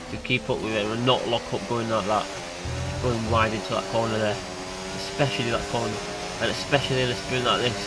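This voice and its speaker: A young man with a nasal voice from hay fever, chatting casually while commentating his racing game. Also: mid-range voice. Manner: chatty, excitable. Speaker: gaming YouTuber. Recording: noisy home recording.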